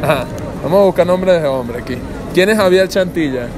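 A man's voice: on the high side, animated, brisk. A young man with a Venezuelan accent, hosting a casual street interview in a teasing, playful way. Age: young adult. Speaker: vlog host. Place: busy city plaza, outdoors. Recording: noisy busy street, crowd behind.

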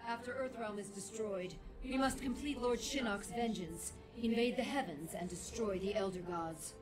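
A woman's voice: mid-range voice, normal speed, music in the background, natural voice. A woman playing an imperious, vengeful otherworldly warrior villainess in a video game, haughty and formal.

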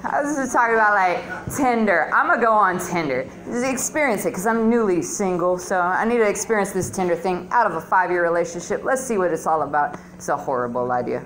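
A woman's voice: lowish voice, expressive, brisk, hardly any pauses, a bit bright, rough recording. A middle-aged woman performing stand-up at an open mic, telling stories in a crude, slangy, conversational way into a handheld stage mic. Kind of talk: stand-up comedy routine. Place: small dim comedy club stage, indoors. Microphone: handheld stage microphone, filmed on phone close below.

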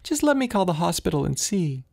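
A man's voice: high voice, sing-song, a little fast, gravelly, darkish tone, pitched above the performer's own voice, full character voice. A man voicing an anxious, distraught mother in an audiobook, with a moaning, breaking voice. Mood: anxious, upset.

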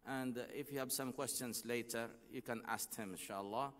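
A middle-aged man hosting a lecture, introducing the speaker in a measured way with many pauses, speaking through a desk microphone on an outdoor stage. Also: medium pitch, fairly bright tone. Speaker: host. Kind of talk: formal introduction. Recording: long reverb of a large open venue.

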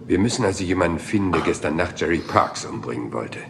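A man's voice: low-pitched, animated, fast talker, vocal fry, music in the background. An actor playing a rumpled, polite police inspector in a crime radio play, apologetic and roundabout in his questions. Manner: apologetic, roundabout, mild and persistent.

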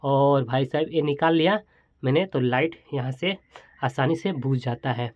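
A man's voice: medium pitch, moderate pace, a little mellow, no echo. Male product-showcase host, chatty, exclamatory and repetitive, casual demo style, close dry sound with lo-fi dullness. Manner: exclamatory, chatty, repeats phrases while demonstrating. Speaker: toy and gadget review host.

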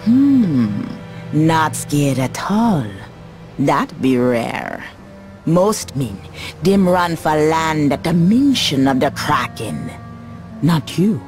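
A woman's voice: low-pitched, big pitch swings, extremely slow, a little mellow, faint music behind, exaggerated voice. An actress playing an eerie, knowing sea witch in a video game, with a Caribbean accent and a mysterious storyteller's drawl. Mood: intrigued, knowing. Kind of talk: video game cutscene acting.

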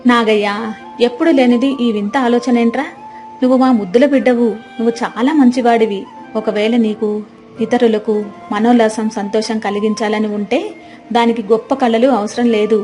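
An affectionate older village aunt, acted by a woman narrator in a moral story. She is doting and reassuring, with a fairly high voice over faint music. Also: steady tone, moderate pace, mellow, lightly acted. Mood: loving reassurance.

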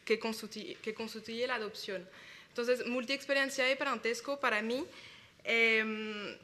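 A woman's voice: fairly high pitch, pushed and bright. A young woman with a French accent, French her first language, presenting her doctoral research in careful, hesitant Spanish at an academic conference. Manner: careful, measured delivery with hesitations. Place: lecture hall with a large audience.

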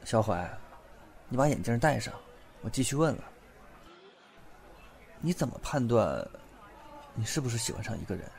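Man acting an animated, curious young guy. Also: medium pitch, normal speed, gravelly, pauses often. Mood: inquisitive. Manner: expressive, questioning, shifts between muttering and blurting. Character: young man, nervously curious, blunt and playful. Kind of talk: Chinese audio drama acting.

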